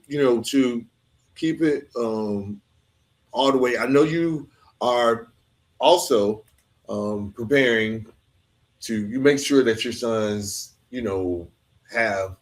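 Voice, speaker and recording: A man hosting a conversational podcast interview in a halting, reflective, gently affirming way. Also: lowish voice.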